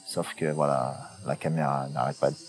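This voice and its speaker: A man in a jungle outdoors, talking in slangy, excitable, joking banter as a reality show contestant. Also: very deep voice, moderate pace. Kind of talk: casual banter and confessional.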